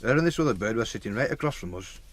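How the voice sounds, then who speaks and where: An actor playing a brash, boastful young lad with a Northern English accent, blunt and slangy, in a radio drama. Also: male voice, on the low side, lively intonation, racing. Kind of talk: naturalistic radio drama dialogue.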